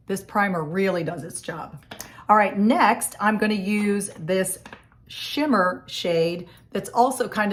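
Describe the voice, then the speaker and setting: A woman with a scratchy, hoarse voice from a cold, chatting casually through a makeup tutorial in her home office. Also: mid-range voice. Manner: chatty, friendly step-by-step explaining. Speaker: makeup vlogger.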